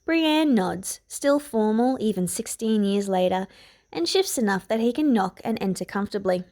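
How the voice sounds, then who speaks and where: A woman's voice: mid-range voice, lively intonation, normal speed, darkish tone. A woman narrator reading a scripted piece of fiction aloud. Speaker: podfic narrator.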